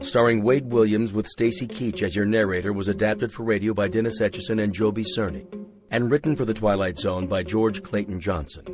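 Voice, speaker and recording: An American man reading scripted credits as a formal announcer. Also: on the low side.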